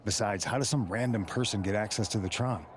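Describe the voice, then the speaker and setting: A wary, concerned wrestler colleague, acted by a man, speaks low and quickly. He delivers game cut-scene dialogue like a friendly warning. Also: slight background noise, lightly acted.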